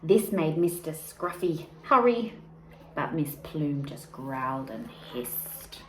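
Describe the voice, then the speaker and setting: Expressive, slow-paced woman reading a storybook. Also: low-pitched. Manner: expressive, deliberate, drawn-out emphasis on key words. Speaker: teacher reading a picture book aloud. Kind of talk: children's storybook read-aloud with asides to young listeners. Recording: echoey room with faint background noise.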